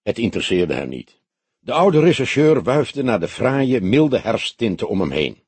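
A man reading literary narration for an audiobook in an expressive, scripted style. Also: mid-range voice, moderate pace, breathy edge, dry recording.

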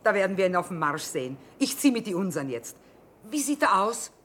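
An actress playing a brisk, commanding middle-aged mother who trades from a wagon in wartime, in a German radio play. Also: mid-range voice, big pitch swings, fairly bright tone. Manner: commanding, no-nonsense.

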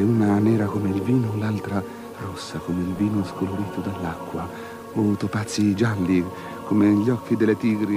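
A man's voice: fairly low pitch, natural voice. An actor playing an anxious, superstitious king in an avant-garde radio drama, declaiming theatrically and sliding into whispers, on a noisy recording. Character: anxious, superstitious king pleading and bargaining with a princess.

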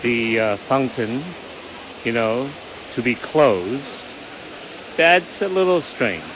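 Slow-talking man outdoors in traffic noise. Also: medium pitch, animated. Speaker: tourist being interviewed. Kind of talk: casual street interview.

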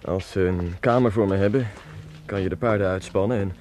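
A man's voice: deep voice, brisk, a little mellow, natural voice. An actor playing a well-to-do, curious male traveller in a Dutch radio play, speaking in a formal, wordy, commanding way. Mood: wry.

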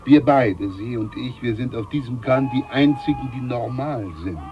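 A man's voice: medium pitch, moderate pace, muffled recording, a little hiss or hum, lightly acted. A man playing a jovial, scheming older ship's doctor in a German radio play, pompous, overfamiliar and emphatic. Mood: confiding, self-important.

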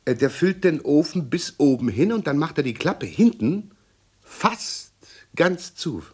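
A man's voice: a little high, sing-song, unhurried, darkish tone, breathy edge, full character voice. An actor playing a pedantic, self-satisfied middle-aged policeman husband in a radio play, fussy and blustering, repeating himself. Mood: nagging, irritated. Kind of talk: 1960s German radio play acting.

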